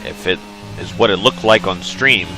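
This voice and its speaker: A low-pitched man calls an online racing league, his voice worn hoarse from talking. He chats loosely with plenty of fillers. Music plays clearly under him. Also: normal speed, poor audio. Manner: chatty, many fillers. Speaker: race commentator. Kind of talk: sim racing commentary. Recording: game engine sounds and music behind.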